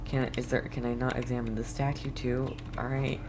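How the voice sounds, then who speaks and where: A man giving casual, excitable and reactive commentary as he plays a video game. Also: medium pitch, normal speed, noisy background. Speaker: game streamer.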